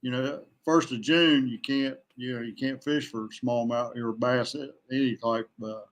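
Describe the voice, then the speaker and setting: A man talking casually as a podcast guest, a veteran angler who haltingly thinks aloud as he goes. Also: mid-range voice, fairly even intonation.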